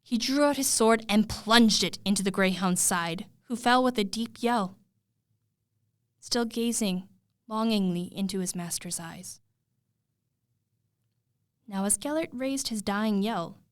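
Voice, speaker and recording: A woman retelling a fairy tale as a storyteller, in a dramatic, expressive way with suspenseful pauses. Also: mid-range voice, no echo, clean studio sound.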